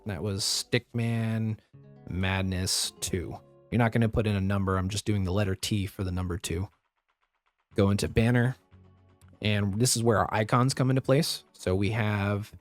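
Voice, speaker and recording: A man with a slightly raspy voice, recovering from the flu, giving a step-by-step software tutorial as a voice-over. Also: fairly low pitch. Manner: step-by-step instructive, plain and practical. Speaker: tutorial host. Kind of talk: screen-recorded software tutorial.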